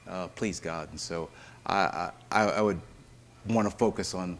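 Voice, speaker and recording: A man, a pastor on a panel, speaking hesitantly with restarts and trailing off more softly. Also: sits low, a little slow. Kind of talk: casual advice talk.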